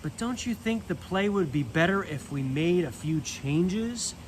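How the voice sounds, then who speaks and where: A man's voice: sits high, lively intonation, a little slow, slight background noise, low-quality recording. A man reading a storybook and voicing an eager, boastful toy space ranger in a showy, earnest way. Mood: eager, confident.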